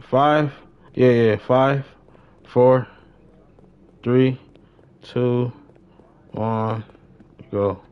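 A young man giving casual live gaming commentary, slangy, repeating short phrases excitedly. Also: mid-range voice, drawn-out words, long pauses, clear, resonant tone, highs cut off. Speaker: game streamer.

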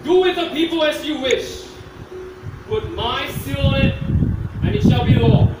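An actor's slow, imperious stage king. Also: high voice, lively intonation. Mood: imperious, indulgent. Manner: commanding, unhurried. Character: imperious, easily swayed king. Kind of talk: live stage play acting. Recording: echoey stage, distant, noisy.

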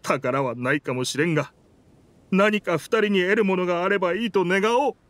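A man's voice: high-pitched, brisk, fairly bright tone, dry recording. A man voicing a game character, the proud, wistful spirit of an old pirate warship, with rugged, old-fashioned, theatrical speech. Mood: wistful, hopeful.

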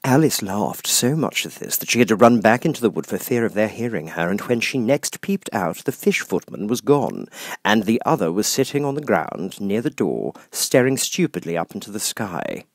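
A man reading a children's classic aloud as an audiobook narrator, in expressive storytelling style. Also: fairly low pitch, moderate pace, no room sound, studio quality.

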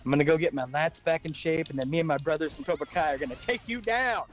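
A man's voice: a little high, fast talker, faint music behind. Wisecracking man running the bracket. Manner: wisecracking, directs players. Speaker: tournament organizer. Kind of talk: casual gaming chat.

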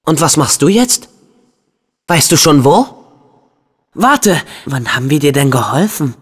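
A man's voice: a little high, very animated, measured pace, husky, exaggerated voice. An actor voicing an earnest, hot-headed and loyal teenage boy hero in a video game, with a youthful, emphatic delivery. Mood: calm, curious. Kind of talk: dubbed video game acting.

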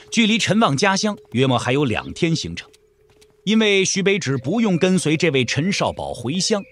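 Animated male storyteller with wide-ranging pitch. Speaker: audiobook drama narrator. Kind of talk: scripted dramatic storytelling narration.

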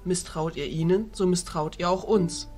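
Woman as a low-voiced, dignified fairy ruler. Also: darkish tone, soft background music, close to an everyday voice. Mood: diplomatic. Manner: formal, measured.

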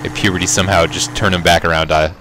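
A man's voice: low voice, fairly even intonation, very fast, noisy background. A man co-hosting a video game session, bantering casually and laughing often. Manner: laughs often, joking and self-aware. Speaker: gameplay co-host. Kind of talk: casual let's-play banter.

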